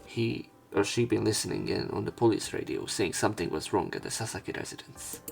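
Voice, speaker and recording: A man with a fairly low, creaky voice reads a visual novel aloud as a let's play narrator. He drops in asides as he goes, and quiet music plays underneath. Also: a little fast.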